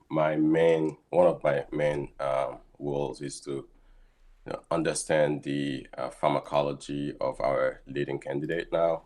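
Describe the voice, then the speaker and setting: A man's voice: a bass voice, a little mellow. A man, a guest scientist explaining his work steadily in a casual interview over a video call. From Haiti. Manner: thoughtful, explains steadily with frequent ums.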